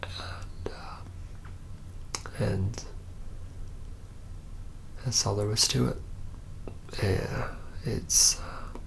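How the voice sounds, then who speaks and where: A reluctant young male vampire , acted by a man, confides his story in an ASMR roleplay. His low voice is heavily fried and slips often into a breathy whisper. He speaks haltingly, nervous and rueful, trailing off as he goes. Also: fairly even intonation, fairly bright tone, heavily acted, rough recording. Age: young adult. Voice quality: breathy whisper mixed with low creaky murmur.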